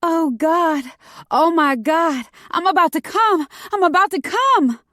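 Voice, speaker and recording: A woman's voice: medium pitch, very animated, measured pace, no echo, clean studio sound, voice pushed up. A woman voicing a brash, overdramatic, jealous young woman assassin with a petulant, profane manner in an audiobook. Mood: loud ecstasy. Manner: overly dramatic, petulant, profane. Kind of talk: audiobook character dialogue.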